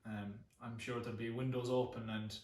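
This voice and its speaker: A man from Connemara with an Irish accent, speaking English though Irish is his first language, chatting casually and warmly fillered as he introduces a song from home. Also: medium pitch, little pitch movement, moderate pace, reverberant, recorded from a distance, noisy background. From Ireland. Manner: chatty, many fillers, repeats words.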